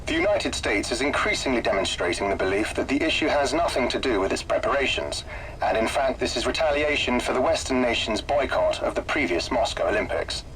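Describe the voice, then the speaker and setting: An actor playing a formal radio newsreader in a video game, reading in a measured way. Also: male voice, medium pitch, animated, fairly bright tone, slight background noise, lightly acted, poor audio. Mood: formal, neutral.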